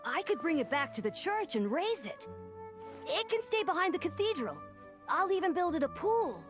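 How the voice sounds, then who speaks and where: A woman's voice: very high voice, big pitch swings, normal speed, over music. A woman voicing a youthful, cheerful church member in a video game, speaking with open enthusiasm. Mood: eager. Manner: bright-eyed, enthusiastic. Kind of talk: animated video game dialogue.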